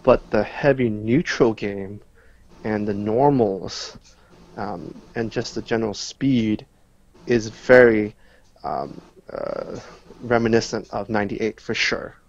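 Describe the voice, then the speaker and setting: A man's voice: lowish voice, slow pace, creaky, lo-fi sound. A man giving casual, analytical answers as a guest player in a gaming interview.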